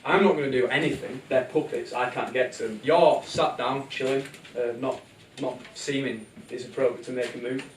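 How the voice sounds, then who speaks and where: A man's voice: mid-range voice, fairly even intonation, moderate pace, background noise. A man narrating a live puppet show in an irreverent, informal, ad-libbing comedic storytelling style. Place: live show in a room, music behind. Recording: roomy, distant live capture with loud music.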